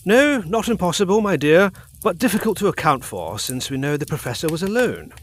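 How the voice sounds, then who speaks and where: An earnest Edwardian physician in a gothic audio drama. His voice sits fairly high and swings widely in pitch. He speaks frankly and with authority, in formal, emphatic phrases. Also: big pitch swings, fairly bright tone, heavily acted. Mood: grave, assured. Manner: formal, emphatic, deliberate diction.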